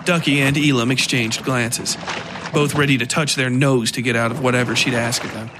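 A man narrating scripted dark horror fiction as a podcast storyteller. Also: mid-range voice, steady tone, normal speed, background noise.

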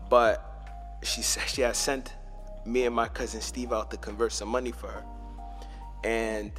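A young man hosting a podcast, telling loose, slangy stories in a casual way and dropping into low asides. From Montreal, Canada. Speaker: podcast host. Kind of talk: casual storytelling.

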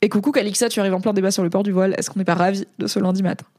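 A woman's voice: medium pitch, fairly even intonation, very fast, a little mellow, dry recording, studio quality. A woman from France giving casual, slangy commentary as a streamer reading chat, talking in a rambling way with frequent hesitations.

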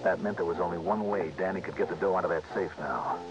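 A man's voice: deep voice, fast, dull audio, close to an everyday voice. A man playing a tough, wry investigator, narrating a 1960s radio detective drama in a terse, hard-boiled way. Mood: tense, hushed. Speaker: lead actor and narrator. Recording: band-limited old radio recording, music behind.

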